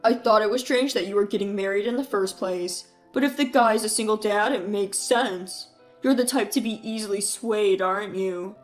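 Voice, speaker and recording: A woman voicing a rude, mocking adult brother who talks loudly and scornfully, with faint music behind. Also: medium pitch, steady tone, measured pace, natural voice. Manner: sneering, taunting.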